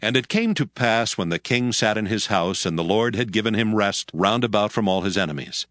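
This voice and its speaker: Low-voiced male scripture narrator. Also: a little fast, dry recording, studio quality. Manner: steady, formal storytelling.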